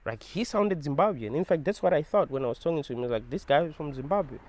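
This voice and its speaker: A young man, telling a personal story to camera in an animated, emphatic way and swearing freely. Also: a little high, quick pace, slight background noise. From South Africa. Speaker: vlogger.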